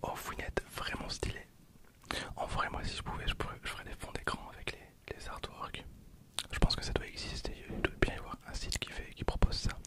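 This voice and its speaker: A man speaks in a breathy, unvoiced whisper, giving informal ASMR commentary. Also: fairly quick. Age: 20s. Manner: informal, murmured running commentary. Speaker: ASMR video creator. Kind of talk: whispered ASMR with casual commentary on trading cards.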